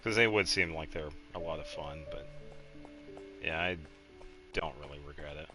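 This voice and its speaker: A man casually commentating a game on a live stream, chatting with viewers and thinking aloud in a low-key, rambling way. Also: normal speed. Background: game music.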